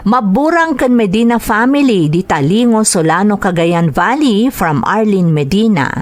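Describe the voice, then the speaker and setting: A woman's voice: medium pitch, animated, normal speed, no room sound. A woman with an Ilocano accent hosting a podcast, reading greetings and letters as a scripted narrator.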